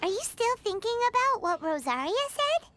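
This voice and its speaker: A woman voicing a small, excitable, cheeky fairy companion who talks in exclamations in an anime game. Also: very high voice, moderate pace, a little hiss or hum, exaggerated voice. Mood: musing. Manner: speaks of self in third person, exclamatory.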